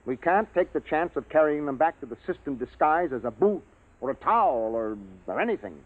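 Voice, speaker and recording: A man's voice: fairly high pitch, expressive, a little fast, dry recording, lightly acted. An actor playing an analytical male science officer in a 1950s radio drama, explaining things matter-of-factly. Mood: grave, explanatory. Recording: dry, tinny vintage radio recording.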